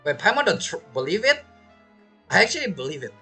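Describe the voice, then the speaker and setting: A woman's voice: on the low side, very animated, quick pace, over music. A woman giving casual, teasing commentary as she reacts to a game. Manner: joking, teasing. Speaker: game streamer.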